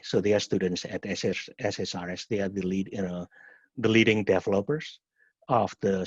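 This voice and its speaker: A middle-aged man from Indonesia with an Indonesian accent and a husky voice, a professor answering questions at a webinar in a halting way, often repeating words and restarting. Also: low-pitched. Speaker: professor and program director. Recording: muffled, echoey webinar audio.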